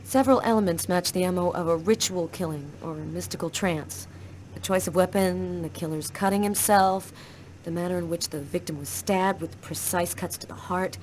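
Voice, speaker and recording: A woman playing an analytical, measured police detective in a video game. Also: lowish voice, a little mellow, a little hiss or hum, lightly acted, poor audio. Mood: businesslike.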